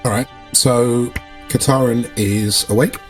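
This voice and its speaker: A man's voice: medium pitch, steady tone, takes it slowly, rough recording. A man playing a tabletop roleplaying game, casually describing what his character does. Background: background music.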